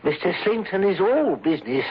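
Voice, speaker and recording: A man's voice: high-pitched, sing-song, moderate pace, slightly rough, exaggerated voice. An actor playing a fussy, deferential male office clerk in a radio drama, with a hesitant, stammering delivery. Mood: flustered, eager. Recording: dull old radio recording.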